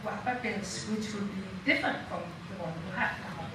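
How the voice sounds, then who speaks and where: A woman with a Danish accent speaking as a panelist in an informal talk, halting and full of fillers. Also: medium pitch. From Denmark. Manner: halting, with many fillers and soft laughs. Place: lecture room. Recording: echoey room from a distant mic, noisy.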